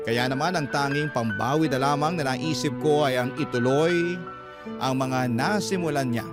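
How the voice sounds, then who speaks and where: A man narrating a first-person letter for a radio drama in an earnest, sorrowful way. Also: medium pitch. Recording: narration over loud music.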